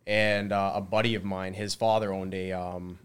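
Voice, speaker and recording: Young man in his twenties, lowish voice. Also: flat delivery, normal speed, smooth. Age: 20s. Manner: laughs often, lots of fillers. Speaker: guest, mason. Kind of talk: casual interview chat.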